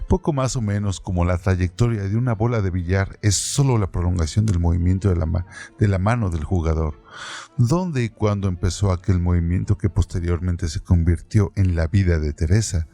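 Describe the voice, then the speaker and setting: A man giving a measured, deliberate scripted reading of a literary text as narrator of a radio-theatre piece. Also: low-pitched, warm tone.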